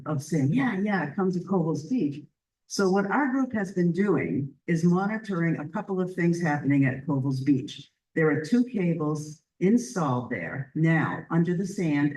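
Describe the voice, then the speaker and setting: A woman leading a community group, giving an earnest, chatty presentation at a public meeting in a library, with a loud voice. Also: low voice, distant mic, low-quality recording. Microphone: handheld or stand microphone.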